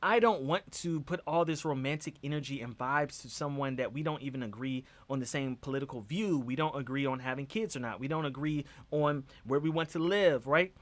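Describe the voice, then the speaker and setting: A man's voice: mid-range voice, brisk, hardly any pauses. A man hosting a livestream discussion, talking emphatically and repeating words for stress.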